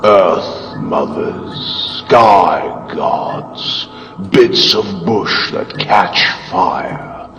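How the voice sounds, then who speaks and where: A man's voice: low-pitched, lively intonation, gravelly, fairly bright tone, exaggerated voice. A man voicing a vast inhuman fungal god that pronounces solemnly and with contempt on humanity, in a motion comic. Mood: scornful. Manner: slow, solemn, deliberate pronouncements. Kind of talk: dramatic comic narration. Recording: cavernous reverb, distant, faint music behind.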